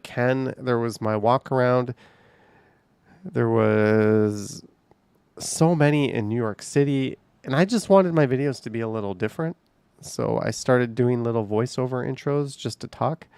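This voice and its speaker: Gravelly-voiced man chatting on a livestream. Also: medium pitch, darkish tone, no room sound. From Canada. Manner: rambling, chatty, laughs easily. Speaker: livestream host reading chat. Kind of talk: casual livestream chat. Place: home.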